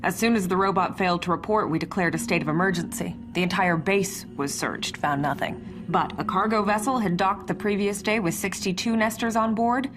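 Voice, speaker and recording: A woman playing a brisk, defensive military major under pressure in a radio drama, clipped and impatient. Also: mid-range voice, natural voice. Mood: tense, brisk.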